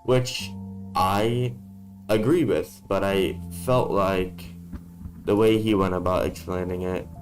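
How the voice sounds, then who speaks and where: A man talking in a casual vlog from a dark room, blunt and swearing freely, his voice worn from being sick. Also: low voice, fairly even intonation, slow, soft background music, poor audio. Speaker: YouTuber.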